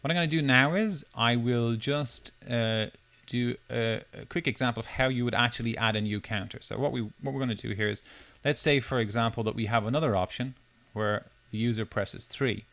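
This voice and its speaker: A man with a mid-pitched voice narrates a screencast tutorial. He explains each step as he goes, speaking informally as a presenter would when showing software without a tight script. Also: brisk, hardly any pauses.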